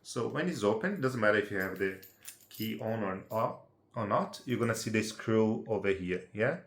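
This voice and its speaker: A man's voice: lowish voice, expressive, normal speed, fairly bright tone, reverberant. A man from Brazil with a Brazilian Portuguese accent, speaking English as a second language, giving a casual unedited how-to walkthrough and checking in with the viewer through tag questions. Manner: chatty, checks in with tag questions like "right?" and "yeah?". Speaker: amateur how-to presenter.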